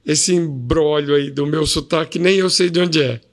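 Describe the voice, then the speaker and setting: A man in his fifties with a Pará Portuguese accent, a podcast guest telling animated stories about his childhood. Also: on the high side, moderate pace. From Brazil. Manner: storytelling, animated anecdotes. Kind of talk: casual interview chat.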